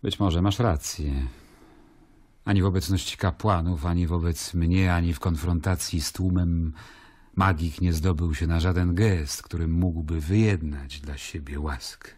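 An actor playing a stern, brooding Roman governor in an audio drama, solemn and commanding. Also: male voice, low voice, fairly even intonation, measured pace, darkish tone.

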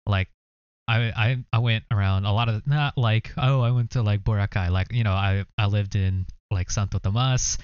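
A young man co-hosting a casual reaction chat, explaining things in a relaxed way with lots of fillers. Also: lowish voice, normal speed, smooth, no room sound, highs cut off. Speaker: co-host.

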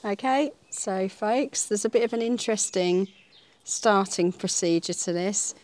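A British woman with a mid-pitched voice co-hosts a casual vlog. She asks questions and explains things to viewers in a wry, playful way. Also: a little slow, a little mellow. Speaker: co-host interviewing and filming.

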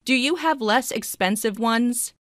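A woman reading short English dialogue lines slowly and clearly, repeating each phrase three times for learners. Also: fairly high pitch, no echo, studio quality. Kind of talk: slow scripted phrase repetition.

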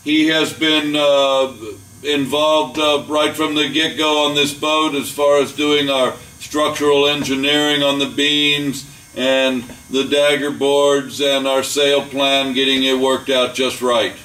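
An older man, a boat builder, giving a plain, unhurried walkthrough of a catamaran under construction, with frequent fillers. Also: medium pitch, little pitch movement, bright, forceful tone, distant mic. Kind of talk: casual walkthrough tour. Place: large boatbuilding warehouse, indoors. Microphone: camera mic, speaker often several steps away. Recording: echoey warehouse, voice from off-mic, faint hum.

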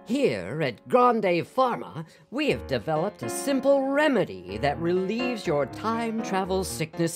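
Lady doing reassuring drug ad spokeswoman, lowish lively voice, salesy, guitar behind. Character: polished female pharmaceutical company spokeswoman, reassuring. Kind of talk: parody advertisement narration. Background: guitar music.

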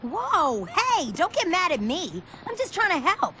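A woman's voice: extremely high pitch, wildly swooping pitch, measured pace, pushed and bright, slight background noise, exaggerated voice. A woman playing a spirited, defensive young skater girl in a video game cutscene. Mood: hurt, defensive.

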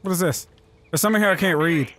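A man's voice: sits high, fast talker, a crowd in the background. A man hosting a co-op gameplay session, teasing his partner with playful trash talk and laughing often. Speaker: gaming channel host. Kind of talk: casual gameplay chat.

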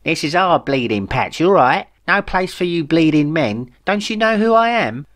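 A man's voice: a little high, very animated, normal speed, fairly bright tone, pitched above the performer's own voice. A man voicing a bossy, vengeful alien queen with a brash, sarcastic Cockney accent, reading an audiobook story. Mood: angry, bossy. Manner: brash, bawling, sarcastic, slangy.

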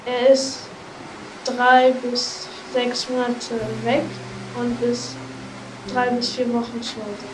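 A woman's voice: fairly high pitch, completely flat delivery, takes it slowly, background noise. A fifteen-year-old girl from Germany narrating a documentary voice-over in a simple, slightly hesitant way. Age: teens. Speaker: youth video reporter. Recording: roomy, distant, music behind.

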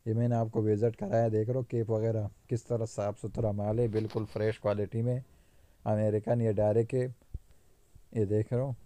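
A man with a Karachi Urdu accent shows off stock in a warehouse, talking into a handheld phone. His voice sits low and flat, and the sound is dry and close. His sales patter is insistent and repeats itself. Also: dry recording. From Pakistan. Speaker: market trader showing stock. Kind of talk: casual sales walkthrough. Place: warehouse among sacks of goods. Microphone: handheld phone camera, speaker off screen.

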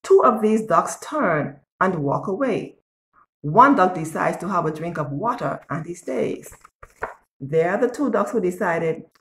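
A woman in her sixties reading a picture book aloud to young children in an expressive, deliberate way, as a storyteller. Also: lowish voice. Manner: expressive, deliberate, asks the listener questions. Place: small bare-walled room indoors. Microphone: phone or tablet at arm's length. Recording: echoey room, device at arm's length.

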